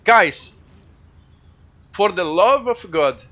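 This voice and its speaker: A man with a Brazilian Portuguese accent, a game streamer chatting casually and excitedly with viewers, swearing freely. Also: sits high, very slow, lo-fi sound.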